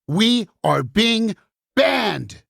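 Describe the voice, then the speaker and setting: A woman's voice: fairly high pitch, very animated, very slow, vocal fry, fairly bright tone, slightly rough, no room sound, clean studio sound, exaggerated voice. A woman playing a frantic, world-weary banned book in a musical puppet show, urgent and dramatic as it warns and interrogates. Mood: grim, dramatic.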